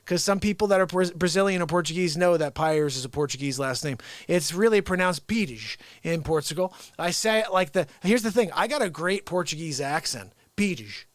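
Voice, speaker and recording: A man from the United States hosting an unscripted live stream, rambling excitedly, chuckling and greeting viewers by name. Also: on the high side, normal speed, hardly any pauses, no echo. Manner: hypes the show with catchphrases. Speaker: livestream show host.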